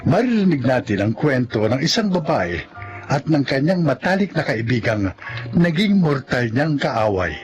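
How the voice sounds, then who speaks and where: A male announcer introduces a radio drama. His voice is medium in pitch with very lively intonation, set over prominent music. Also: moderate pace. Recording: music behind the voice.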